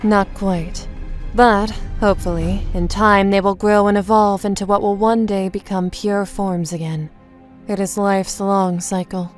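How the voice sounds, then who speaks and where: A low-voiced female mystic played by an actress in an audio comic book drama, serene and grave. She explains things in a measured, solemn way, with music underneath. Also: fairly even intonation, close to an everyday voice. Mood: solemn storytelling. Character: serene mystical woman guru from another realm, gravely explaining.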